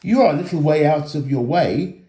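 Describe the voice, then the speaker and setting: Man as a young elf guide, storybook dialogue, welcoming, courteous, animated intonation, roomy echo. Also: a little high, normal speed, natural voice. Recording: echoey room.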